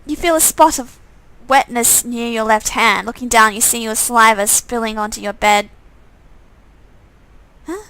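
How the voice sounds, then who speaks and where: Woman game streamer, high bright voice, expressive storytelling with casual asides. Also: unhurried, smooth, low-quality recording. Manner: expressive, breaks off to comment casually. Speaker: streamer reading a visual novel game aloud. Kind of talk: dramatic narration with off-the-cuff asides.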